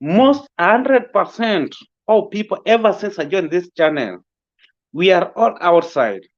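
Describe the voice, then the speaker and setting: A man with a Kenyan accent making his points haltingly, deliberately and politely in an impromptu political discussion. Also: sits high, expressive, muffled recording.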